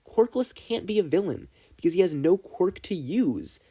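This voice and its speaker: A man's voice: high-pitched, big pitch swings, normal speed, warm tone, husky, pitched above the performer's own voice, full character voice. A man voicing an earnest, idealistic teenage boy student in an audiobook, arguing emphatically. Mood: excited. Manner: emphatic, argumentative.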